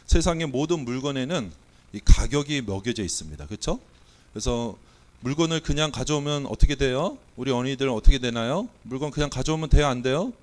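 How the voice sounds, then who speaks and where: A Korean pastor delivers a sermon in a church with his voice weakened by a cold. He speaks at an ordinary pitch and keeps things playful, throwing quiz questions to the congregation and calling out their bids. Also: fairly quick. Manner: playful, quizzing and auctioning with the congregation. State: has a cold, voice not coming out well. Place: church sanctuary.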